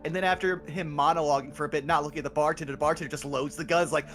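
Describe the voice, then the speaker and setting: A man's voice: fairly high pitch, fairly even intonation, very fast, a bit bright, faint music behind. A man chatting casually as a co-player, rambling with lots of fillers. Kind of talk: casual chat.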